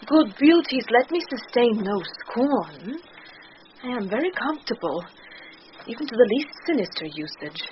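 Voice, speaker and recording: An actress with a British accent playing a bold, witty young messenger disguised as a youth in a Shakespearean radio drama, with theatrical, declamatory delivery. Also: female voice, fairly high pitch, big pitch swings, normal speed. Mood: florid, flattering. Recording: dull, noisy old radio drama recording.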